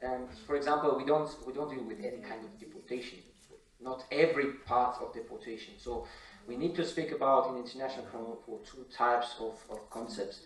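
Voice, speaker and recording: A man speaking as an academic panelist, explaining to an audience in halting, repetitive, non-native English phrasing. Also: mid-range voice, fairly even intonation. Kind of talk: informal explanation of law to an audience. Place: indoor conference room. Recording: echoey room, slightly distant mic.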